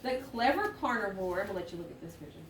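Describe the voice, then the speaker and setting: The recording is echoey and picked up from a distance, with faint noise. A woman with a mid-pitched voice speaks as an elementary teacher doing an interactive read-aloud. She gives instructions and prompts the children with questions. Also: big pitch swings, rapid-fire. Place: indoor classroom.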